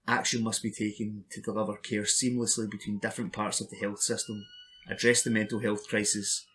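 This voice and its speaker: A man's voice: fairly low pitch, fairly bright tone, slightly rough. A man reading scripted news reports as a volunteer for an audio newspaper. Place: at home.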